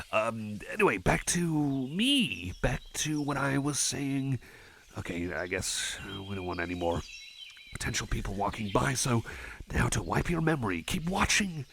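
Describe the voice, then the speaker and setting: A man's voice: medium pitch, vocal fry, a bit bright, a little hiss or hum, full character voice. A man playing a shy, friendly cyborg chum in an ASMR roleplay, stammering, repeating himself and putting himself down. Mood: flustered, sheepish, then slow, soothing. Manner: stammering, halting, repeats phrases, self-effacing. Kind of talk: ASMR roleplay, personal attention.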